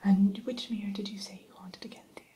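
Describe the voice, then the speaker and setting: A woman playing a polite, primly formal evil queen shopkeeper in a soft, unhurried ASMR whisper. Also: mid-range voice, steady tone, slight background noise, lightly acted. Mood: courteous, businesslike. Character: genteel evil queen running an enchanted mirror shop. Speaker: shopkeeper character in ASMR roleplay.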